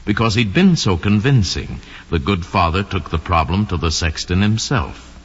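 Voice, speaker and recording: Deep, dramatic crime-show narrator. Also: male voice, expressive, moderate pace, breathy edge. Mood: ominous, solemn. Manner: solemn, theatrical. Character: ominous male narrator of crime case histories. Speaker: announcer and narrator. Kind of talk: 1940s radio drama narration. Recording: dull, reverberant old radio recording.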